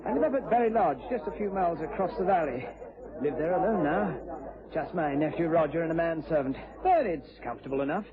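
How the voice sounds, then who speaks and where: An actor playing a genial, effusive retired British gentleman turned country squire in a period radio drama. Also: a little high, animated, fairly quick, close to an everyday voice. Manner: effusive, hesitant, polite. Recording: tinny old radio broadcast, crowd noise.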